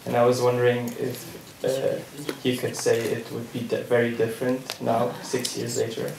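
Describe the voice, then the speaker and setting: A man in the audience asks a halting question in a gravelly, monotone voice. He sounds distant and echoey, with clear noise behind him. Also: mid-range voice. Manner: hesitant, trailing off. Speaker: audience member asking a question. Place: cinema auditorium.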